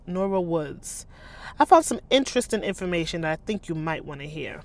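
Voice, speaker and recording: Smug taunting cop guy from a thriller book read by a woman, measured. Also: fairly low pitch, expressive. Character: male police detective, smug and taunting, in thriller novel.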